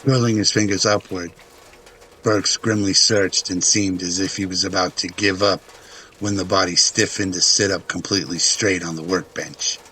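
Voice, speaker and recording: A man narrates a scripted drama in a deep voice with a bright, forceful edge. His delivery is dramatic storytelling, recorded with a faint layer of noise. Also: steady tone, normal speed, rough recording.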